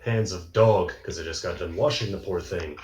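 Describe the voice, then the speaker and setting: A man's voice: low voice, normal speed, crowd noise. A man chatting casually in a group call, speaking as one of the panelists. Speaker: voice actor, reaction panelist. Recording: roomy, echoey voice call.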